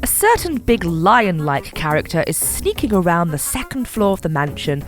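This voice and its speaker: A woman's voice: lowish voice, sing-song, moderate pace, over music. A woman giving playful scripted narration for an audio adventure story.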